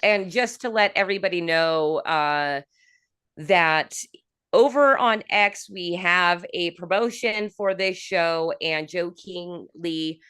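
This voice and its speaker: A woman hosting a livestream interview, giving chatty shout-outs to viewers and asking questions with many fillers. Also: lowish voice, slow, clean tone. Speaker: interview host.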